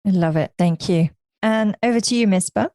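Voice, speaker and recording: A woman's voice: medium pitch, normal speed, a little mellow, dry recording, clean studio sound. A woman hosting an interview podcast, reading a scripted intro and then asking friendly questions. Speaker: podcast host.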